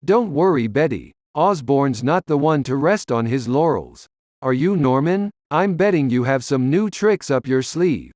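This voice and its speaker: A man playing a brave, steady veteran reporter in a comic dub, deliberate and defiant. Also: mid-range voice, no room sound, studio quality, natural voice.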